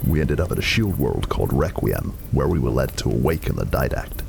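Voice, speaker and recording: An actor playing a stoic veteran super-soldier, speaking in terse, deadpan lines for a science fiction audio drama. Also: male voice, rumbling low, fairly quick, creaky, music in the background, exaggerated voice. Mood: grim.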